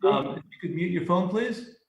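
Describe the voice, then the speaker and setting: A man's voice: high voice, moderate pace, gravelly. An American man chairing a public meeting, speaking procedurally and off the cuff. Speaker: commission chair presiding over a public meeting. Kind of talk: formal meeting procedure, unscripted. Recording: roomy echo, noisy meeting audio.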